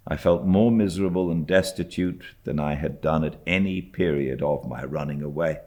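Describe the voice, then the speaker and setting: A British man reading a classic novel as an audiobook narrator, in a measured, expressive storytelling manner. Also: extremely low pitch. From Britain. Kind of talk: scripted literary narration.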